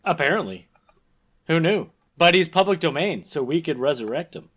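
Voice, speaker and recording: A man's voice: a little high, animated, normal speed, a bit bright, slightly rough. A man co-hosting a podcast, chatting casually and jokingly as he comments on a comic reading. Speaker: podcast co-host.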